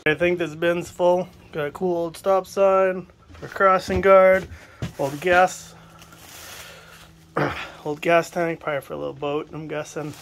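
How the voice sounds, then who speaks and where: Male vlogger, fairly high-pitched, relaxed commentary, phone mic in a cramped shed, faint background noise. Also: measured pace. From New England. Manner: relaxed, musing aloud, talks to viewers. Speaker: estate sale buyer filming a shed cleanout. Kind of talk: casual running commentary while picking items. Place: cramped wooden shed, indoors. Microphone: handheld phone camera.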